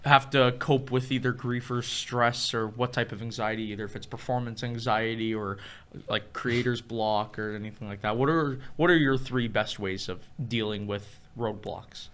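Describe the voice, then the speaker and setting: A man's voice: medium pitch, fairly even intonation, normal speed, a bit bright. A man co-hosting a campus radio show, asking long, loose questions full of fillers. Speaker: radio co-host.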